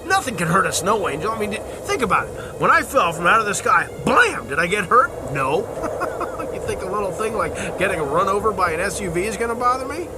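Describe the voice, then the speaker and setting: A breezy fellow who says he is an angel. His high voice swings widely as he chuckles and stalls in radio-drama style. Music runs behind him. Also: sing-song, a little fast. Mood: playful. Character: breezy, cheerful man claiming to be a fallen snow angel.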